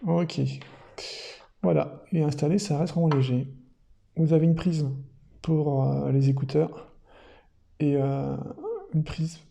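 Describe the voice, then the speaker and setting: A man with a slightly high-set voice that is nonetheless very mellow and dark in tone. He talks through an unboxing casually, feeling his way as he goes, with a creaky edge to the words. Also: slight background noise. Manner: hesitant, thinking aloud. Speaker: product reviewer presenting an unboxing. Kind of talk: casual unscripted unboxing commentary.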